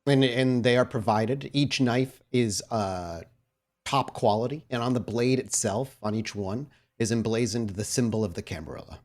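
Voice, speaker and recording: A man's voice: medium pitch, moderate pace, darkish tone. A man narrating and chatting with players as game master of a tabletop roleplay podcast. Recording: music under the voice.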